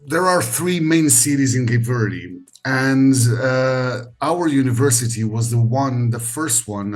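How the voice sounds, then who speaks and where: A middle-aged man from Portugal speaking as an interview guest, measured and pausing often with fillers, over a video call. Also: mid-range voice, darkish tone. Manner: measured, with frequent pauses and fillers. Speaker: university educator, interview guest. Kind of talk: conversational interview.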